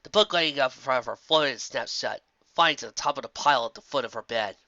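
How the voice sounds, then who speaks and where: A man's voice: medium pitch, fast talker, bright, forceful tone, no echo. A man reading scripted fan fiction as a narrator, on a slightly dull, muffled recording.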